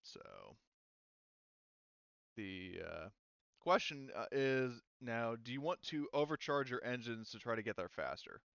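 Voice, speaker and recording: A man acting as game master, narrating a tabletop game casually and haltingly as he thinks aloud. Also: medium pitch, expressive, a bit bright, clean tone, no echo, dull audio.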